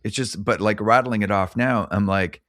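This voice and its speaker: Low-voiced man hosting, dry close sound. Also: normal speed, fairly bright tone, no echo. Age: middle-aged. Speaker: podcast host. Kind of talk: casual chat.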